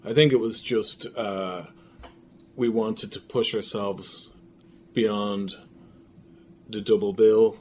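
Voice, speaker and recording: A man's voice: lowish voice, fairly even intonation, slow pace, long pauses, darkish tone. A man talking casually and reflectively as a guest in an interview. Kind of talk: casual conversation. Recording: faint room noise and ambience.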